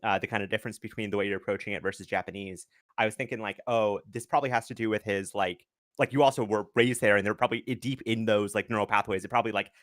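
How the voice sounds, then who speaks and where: A young man chatting casually on a livestream, animated and swearing, acting out quotes. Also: on the low side, fast talker, no room sound, studio quality. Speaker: livestream co-host. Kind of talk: casual chat.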